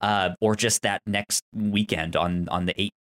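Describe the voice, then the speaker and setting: A man co-hosting a podcast in casual chat, halting as he talks and often stammering and repeating syllables. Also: lowish voice, steady tone, fairly bright tone. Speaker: podcast co-host.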